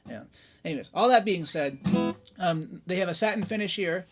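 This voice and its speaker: A man with a slightly higher voice talks casually through a guitar review. He is chatty and enthusiastic, with plenty of "you know" fillers and "right?" tag questions along the way. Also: moderate pace.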